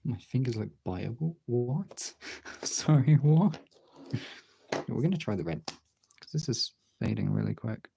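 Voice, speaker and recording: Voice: mid-range voice, expressive, measured pace, vocal fry, pauses often, warm tone, a little hiss or hum. A casual streamer chatting and muttering to themselves while working on art, with some swearing. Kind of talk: casual chat while colouring artwork.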